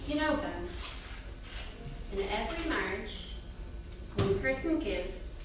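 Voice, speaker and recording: A woman's voice: fairly high pitch, expressive, takes it slowly. A woman playing an anxious schoolgirl, echoey theatre. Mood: gently explaining. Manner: questioning, plain-spoken. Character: inquisitive, anxious schoolgirl, a big sister. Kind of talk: staged script reading. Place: theatre stage before an audience. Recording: very echoey theatre, distant, noisy.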